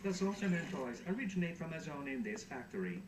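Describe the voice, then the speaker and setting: Formal sardonic cartoon robot, pitch moves a lot, roomy noisy audio. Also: male voice, big pitch swings, fairly quick. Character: hardworking, resentful, bargaining helper robot who collects figures. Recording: distant, echoey game audio with background noise.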